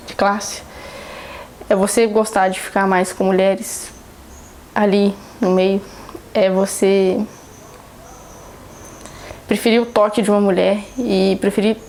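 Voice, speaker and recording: Calm flat-voiced young woman. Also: mid-range voice, slight background noise. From Minas Gerais, Brazil. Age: 20s. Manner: calm, measured, halting phrases. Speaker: documentary interviewee. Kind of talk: reflective documentary interview.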